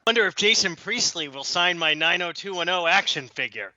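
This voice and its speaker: A man playing an excitable, oddball video store fanboy in a comedic audio play. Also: sits high, a little fast, no echo, highs cut off, heavily acted. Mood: goofy, earnest.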